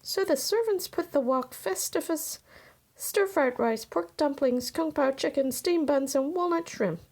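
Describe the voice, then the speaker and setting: A woman's voice: high-pitched, unhurried, darkish tone. A woman reading a children's picture book aloud as scripted narration. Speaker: storybook reader.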